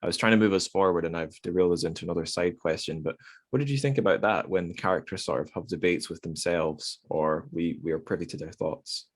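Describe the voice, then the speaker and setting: A man's voice: low-pitched, fast, no room sound. A man hosting a literary podcast, talking casually with an informal, self-deprecating manner. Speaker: podcast host.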